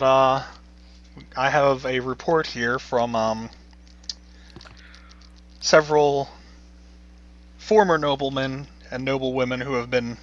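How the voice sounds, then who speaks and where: A man voicing a panicked, flustered male servant of a noble household, who speaks formally and in a hurried, pleading way. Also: mid-range voice, a bit bright. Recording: dull lo-fi recording.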